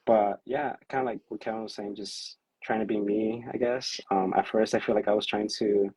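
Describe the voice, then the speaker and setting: Husky, hesitant man on a video call. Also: on the low side, normal speed, no echo, poor audio. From California. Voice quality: self-described monotone. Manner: hesitant, many fillers, self-described awkward and anxious. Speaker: musician on a livestream chat. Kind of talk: casual livestream chat.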